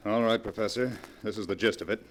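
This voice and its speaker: An actor playing a greedy, scheming nephew who explains things earnestly, in 1940s radio drama style. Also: male voice, on the low side, fairly even intonation, fast talker, slight background noise, natural voice. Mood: conspiratorial.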